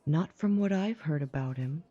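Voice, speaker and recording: Woman playing a low, husky, haughty young werewolf. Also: moderate pace, dark tone. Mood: sly. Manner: sneering, contemptuous. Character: haughty, hostile younger werewolf sister, scornful. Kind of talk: audio drama acting. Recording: slightly dull, muffled microphone.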